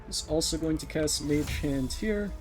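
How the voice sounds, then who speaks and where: A man's voice: fairly high pitch, unhurried, soft background music. A man giving casual live commentary as a speedrunner, explaining each step as he plays.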